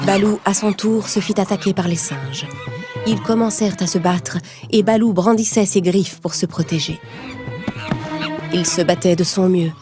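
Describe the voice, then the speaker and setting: A woman storyteller reading a scripted children's tale in narration style. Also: mid-range voice. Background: music.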